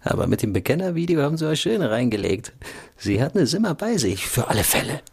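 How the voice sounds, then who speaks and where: An actor playing a dry, probing male security insider in a political radio drama, speaking in a measured, deliberate way. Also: mid-range voice, very animated, creaky, husky, full character voice. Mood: knowing.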